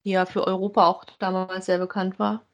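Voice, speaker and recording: A woman's voice: medium pitch, level tone, a little fast. A woman with a mid-range voice co-hosts a podcast. She speaks in a casual, conversational way, as in an informal review chat. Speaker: podcast co-host. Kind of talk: casual review chat about audio dramas.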